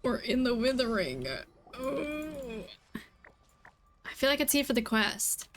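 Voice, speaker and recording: A woman's voice: high-pitched, animated, unhurried, a bit bright, slight background noise. A woman streaming a game, giving casual reaction commentary as she plays.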